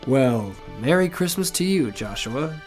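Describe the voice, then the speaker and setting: A man playing a gentle, soft-hearted, doubtful Santa in an audio storybook. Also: mid-range voice, lively intonation, measured pace, close to an everyday voice. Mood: kindly. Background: music.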